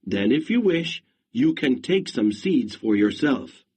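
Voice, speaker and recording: A man's voice: mid-range voice, sing-song, slow pace, a little mellow, husky, full character voice. A man doing a bargaining village shopkeeper. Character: gruff male village shop owner, demanding.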